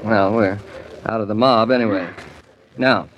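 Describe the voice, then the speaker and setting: An actor playing an affectionate, gently teasing husband in a 1940s radio drama, with a wry, patient manner. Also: male voice, lowish voice, fairly even intonation, unhurried, slight background noise, close to an everyday voice. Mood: eager, encouraging. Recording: dull, lo-fi 1940s radio broadcast.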